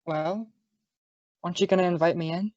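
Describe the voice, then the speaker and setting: Male police inspector, easygoing and teasing, radio drama, played by a young woman in a lower register; dry, lo-fi sound. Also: fairly even intonation, moderate pace, a little mellow, no echo, close to an everyday voice. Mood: polite. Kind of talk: old-time radio detective acting. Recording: dull lo-fi audio, very dry.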